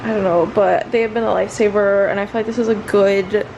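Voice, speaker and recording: A woman's voice: mid-range voice, steady tone, quick pace, gravelly, muffled recording, noisy background. A woman chatting casually in a vlog, rambling along in a self-deprecating way. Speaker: vlogger.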